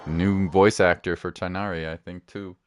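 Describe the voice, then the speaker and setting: A man's voice: deep voice, little pitch movement, normal speed, a little hiss or hum. A man giving casual gameplay commentary as a streamer, musing aloud and repeating himself. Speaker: gaming streamer.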